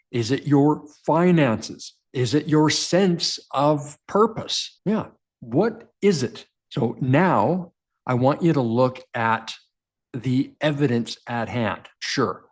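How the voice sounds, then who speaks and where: An earnest man with a baritone voice speaks straight to the camera as a coach, at a middle pitch. He talks directly and repeats key phrases to drive them home. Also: a little mellow. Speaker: men's coach and show host. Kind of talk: motivational self-help talk to camera.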